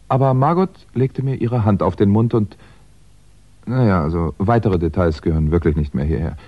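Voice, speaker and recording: A man acting a wry crime novelist in an old German radio play. He speaks in a fairly low voice, chatty and a little hesitant. The recording is slightly dull. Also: natural voice. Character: wry, put-upon male crime writer, narrating husband. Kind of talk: 1960s German radio play narration and dialogue.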